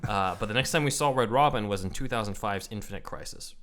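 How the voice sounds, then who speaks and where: A man's voice: on the low side, fast talker, a bit bright. A man hosting a podcast, explaining things casually and giving his opinions in a conversational way. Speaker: podcast host.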